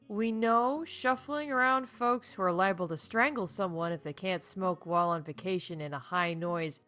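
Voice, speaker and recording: A woman's voice: mid-range voice, pushed and bright. A woman playing a chipper, glib theme park announcer reading comedic corporate updates in an audio drama.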